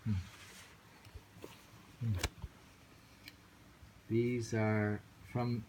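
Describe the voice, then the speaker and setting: A man's voice: lowish voice, level tone, drawn-out words, background noise. A man reading poems aloud and musing on them in casual chat, with frequent thoughtful hums. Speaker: poetry reader and host.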